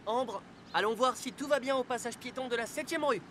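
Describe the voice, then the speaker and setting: Calm, authoritative police-car robot hero. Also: male voice, extremely high pitch, fairly quick, heavy background noise. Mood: calm authority. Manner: authoritative, reassuring. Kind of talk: French-dubbed children's cartoon acting. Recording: music behind the voice.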